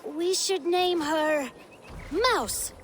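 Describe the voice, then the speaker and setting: A woman playing a gentle, earnest and apologetic young Viking child in a video game, sincere and a little hesitant. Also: very high-pitched, drawn-out words, heavily acted. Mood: wistful.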